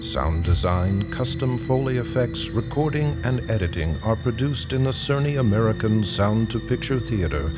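A man's voice: on the low side, animated, moderate pace, hardly any pauses, over music. A man working as a radio announcer, reading scripted show links and credits.

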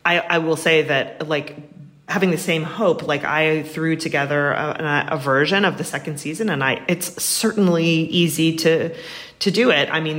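Earnest woman with a lowish voice. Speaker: interview guest, writer. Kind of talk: conversational interview answers.

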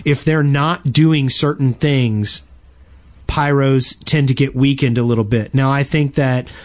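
A man co-hosting a gaming podcast, explaining his points casually and haltingly, often doubling back on his words. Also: medium pitch, fairly even intonation. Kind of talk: casual panel discussion about video games.

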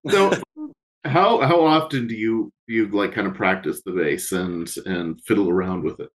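Affable low-pitched male co-host. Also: moderate pace, rough recording. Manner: affable, laughs easily, agreeing fillers. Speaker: podcast co-host. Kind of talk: casual interview chat.

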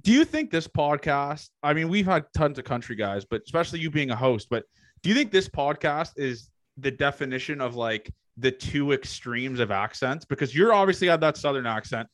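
A man's voice: a little high, big pitch swings, moderate pace, a bit bright, no room sound. A young man from Canada with a slight Canadian accent, hosting a casual podcast and firing off loose, swearing questions. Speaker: podcast host.

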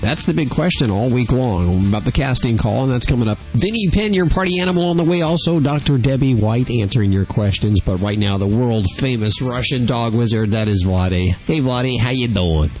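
A man's voice: mid-range voice, a little mellow, faint music behind. Upbeat male radio host. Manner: brisk, upbeat presenter patter. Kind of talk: radio hosting.

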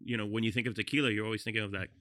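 A man's voice: on the low side, steady tone, very fast, bright, forceful tone, no echo, clean studio sound. A man co-hosting a podcast, wry and teasing, describing flavors in casual detail. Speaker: podcast co-host. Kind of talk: casual tasting chat.

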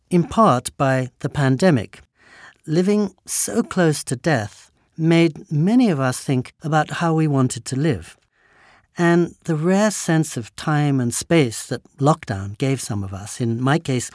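A man in his sixties with an English accent, an author reading a prepared statement at a quick but measured cadence. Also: a little high, a little mellow, no echo, clean studio sound. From England.

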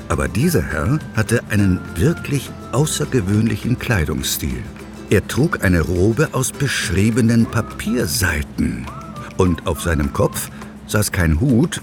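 Casting: male narrator for kids' audio play, lowish, animated storytelling, music underneath. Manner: expressive storytelling. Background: music, vehicle sounds.

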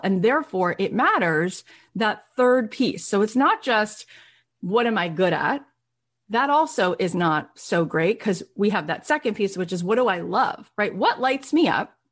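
Woman doing a motivational talk to a crowd, mid pitched, punches key phrases and repeats them, asks lots of rhetorical questions. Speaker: motivational speaker; podcast host. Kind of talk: motivational workshop talk to an audience.

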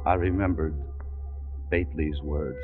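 A man's voice: very deep voice, fairly even intonation, measured pace, over loud music. An actor playing a hard-bitten, distrustful American adventurer, telling his story tersely and dramatically in a 1940s radio drama. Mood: grim, regretful. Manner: terse, world-weary, dramatic. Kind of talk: 1940s radio acting, first-person narration. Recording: dull old radio recording.

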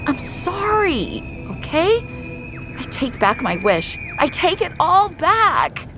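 A woman's voice: very high voice, big pitch swings, slow pace, music in the background, pitched above the performer's own voice, heavily acted. A woman voicing a frightened, desperate young girl in an audio drama nightmare, anguished and pleading.

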